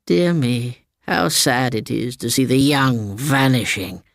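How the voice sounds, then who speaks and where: A man voicing an imperious old aunt, mockingly gracious and faintly sinister, with theatrical, over-polite, drawn-out phrasing. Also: medium pitch, expressive, gravelly, dry recording, clean studio sound.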